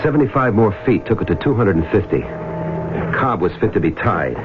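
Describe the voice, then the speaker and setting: Radio drama diver, male actor: young, low voice, earnest and stubbornly obsessed, thin old recording. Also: expressive, brisk, close to an everyday voice. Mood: brooding wonder. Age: young adult. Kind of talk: 1970s radio drama acting, first-person narration and dialogue. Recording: muffled old radio recording, music behind.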